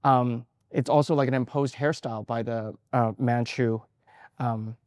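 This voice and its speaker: A man's voice: mid-range voice, steady tone, a little slow, a little mellow, dry recording. A man in his forties who grew up in Canada, an artist talking about his work in an interview, thoughtful and hesitant, with many fillers and restarts. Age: 40s.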